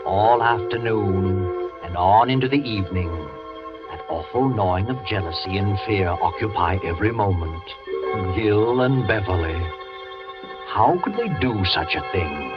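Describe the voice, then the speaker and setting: A man narrating a radio drama in an ominous second-person voice. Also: lowish voice. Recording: dull old radio recording with loud music.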